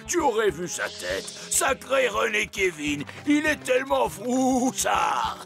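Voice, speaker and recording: Creaky, swooping cartoon bully ghost. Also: mid-range voice, very animated, a bit bright, full character voice. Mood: gloating. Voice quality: creaky, cackling. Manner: taunting, drawn-out, swooping. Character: boastful bullying big-brother ghost, mocking and theatrical. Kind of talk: exaggerated children's cartoon villain acting. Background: music.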